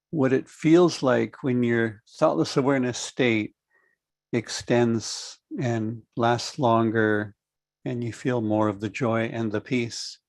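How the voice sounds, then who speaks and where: A middle-aged man hosting an online meditation class over a video call, speaking calmly and in a measured way. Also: medium pitch, no room sound, studio quality. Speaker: host. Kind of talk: friendly online class introduction.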